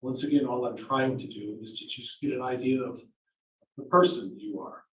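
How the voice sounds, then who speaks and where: A man questioning prospective jurors in a courtroom, talking in a loud, projecting voice and rambling with many fillers. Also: mid-range voice, fairly even intonation, moderate pace. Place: large courtroom, indoors. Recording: echoey courtroom audio from far off.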